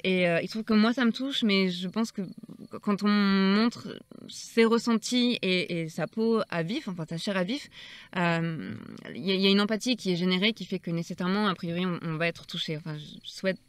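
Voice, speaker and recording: A woman's voice: mid-range voice, moderate pace, clean tone. A woman hosting a poetry channel, talking reflectively and searching for her words as she goes. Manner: thoughtful, searching for words, soft-spoken.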